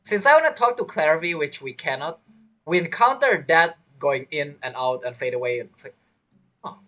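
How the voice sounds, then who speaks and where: A woman streaming a video game with casual, bantering reaction commentary in a husky, expressive voice. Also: sits low, moderate pace. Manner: expressive, bantering, repeats words. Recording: game music behind voice. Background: video game music.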